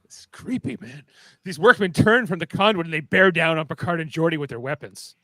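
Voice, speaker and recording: A man's voice: a little high, animated, fairly quick, pushed and bright, no echo. A man narrating stage directions for a fan radio play in a casual, wry way.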